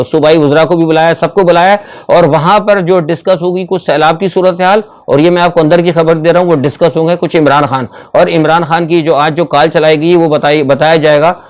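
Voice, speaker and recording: A man with a Pakistani accent giving unscripted, opinionated political commentary as a vlogger, conversational and mixing in English words. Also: a little high.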